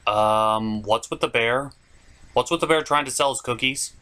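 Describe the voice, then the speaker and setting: A man's voice: mid-range voice, normal speed, sharp tone. A man hosting a scripted comedic review with skits, with a theatrical, exasperated delivery. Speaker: comic reviewer and host.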